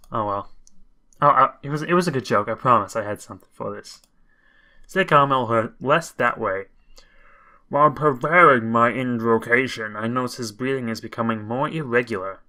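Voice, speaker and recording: Man, bright voice, mid pitch, game commentator, casual read-aloud, wry halting asides. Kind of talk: reading a visual novel aloud with casual commentary.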